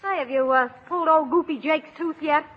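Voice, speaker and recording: An actress in a 1930s radio drama playing a brassy, scornful middle-aged wife with a slangy, sarcastic delivery. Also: female voice, high voice, close to an everyday voice. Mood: brassy, teasing. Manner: slangy, sarcastic, drawn-out jeering. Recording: muffled vintage 1930s radio recording.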